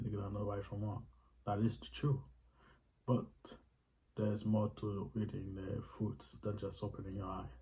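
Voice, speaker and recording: Low, mellow Haitian-accented man. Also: low-quality recording. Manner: earnest, emphatic, repeats points for stress. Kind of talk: casual explanatory talk to camera.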